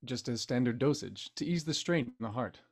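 A man's voice: mid-range voice, lively intonation, brisk. Man playing a breezy, blunt old army doctor. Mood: matter-of-fact. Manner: breezy, matter-of-fact, folksy. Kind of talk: audio drama acting.

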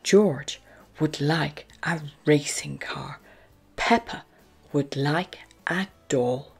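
A woman storyteller narrating a children's book aloud in an expressive, deliberate manner. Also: deep voice, creaky, husky, soft background music. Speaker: storyteller, channel host.

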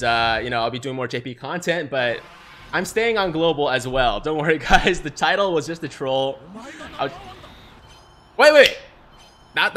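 A young man from China, a native Mandarin speaker, excitedly streaming live gaming commentary on a headset and reading chat aloud. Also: on the high side, slight background noise. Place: small room, seated in gaming chair.

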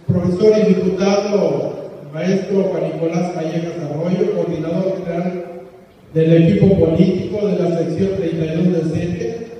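Through a dull, far-off recording in a big banquet hall comes an older man with a Mexican accent. His voice sits high for a man. He delivers a solemn thank-you speech in short, halting phrases, as if reading from notes. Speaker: retiring school official giving farewell speech. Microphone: handheld PA microphone, recorded by far camera. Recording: distant camera, echoey hall, dull, noisy.

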